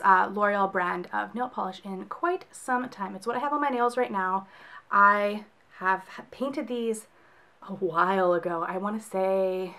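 Young Texan woman vlogger, hoarse from a cold; casual, rambling chat to camera. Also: mid-range voice, a bit bright. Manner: chatty, rambling, with frequent laughs. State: soft, scratchy voice from a cold. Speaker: book and beauty vlogger. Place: small room in front of bookshelves, indoors.

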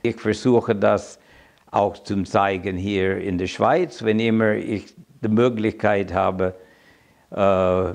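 A man in his seventies with an American accent and English as his first language, giving a reflective personal account and haltingly searching for words. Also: fairly low pitch, darkish tone. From United States. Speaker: interviewee telling his migration story. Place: quiet room indoors.

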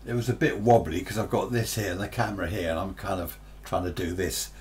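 A man's voice: sits low, steady tone, a little fast, darkish tone, slight background noise. An older British man giving a casual, rambling, unscripted demonstration, chatting with frequent tag questions. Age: 60s or older. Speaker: presenter reviewing radio gear. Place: small cluttered indoor radio workshop.

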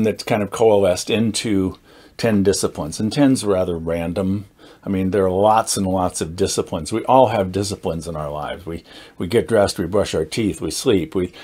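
A man in his sixties speaks in a fairly low voice, talking informally to camera as the host of a group. He explains in a measured, somewhat halting way, leaning on key words with deliberate emphasis. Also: darkish tone. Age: 60s. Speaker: meetup founder and host, teacher. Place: indoors, small studio with dark curtain backdrop.

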